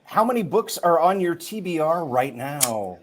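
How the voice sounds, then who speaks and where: A man interviewing a guest in a relaxed, enthusiastic way, with many fillers and quick questions. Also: a little high, slight background noise. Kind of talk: casual chat.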